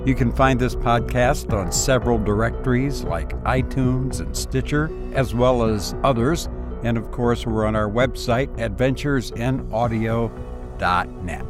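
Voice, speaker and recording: A man speaking as a podcast host and story reader, with measured, deliberate phrasing, close on a voice-over mic. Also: medium pitch, over music. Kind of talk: podcast introduction and audiobook-style narration.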